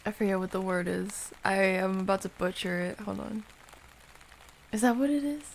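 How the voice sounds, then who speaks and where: A woman hosting an ASMR livestream, chatting casually and softly with viewers in a playful, affectionate, unhurried way, sometimes close to a whisper. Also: medium pitch, fairly even intonation, vocal fry, a little hiss or hum. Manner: soft-spoken, unhurried, drifting into whispers.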